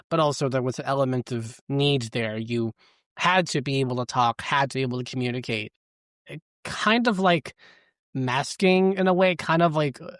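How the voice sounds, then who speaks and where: A man's voice: medium pitch, a bit bright, dry recording, clean studio sound. A man hosting an interview podcast, reflecting back what his guest said. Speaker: podcast host. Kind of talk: conversational interview.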